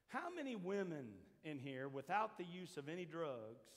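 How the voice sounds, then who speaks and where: An older man preaching a sermon as pastor to his church, shifting from quiet asides to shouted points. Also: a little high, big pitch swings, normal speed. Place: large church hall with a seated congregation. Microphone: handheld mic, roaming the stage. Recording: long hall reverb.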